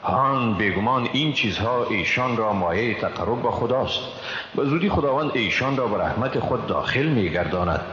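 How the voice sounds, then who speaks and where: A man with an Afghan Dari accent reading a scripture translation in a formal, solemn, measured way. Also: medium pitch, lively intonation, fairly bright tone. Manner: solemn, measured, careful phrasing. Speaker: translation reader. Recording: long reverb, dull, faint noise.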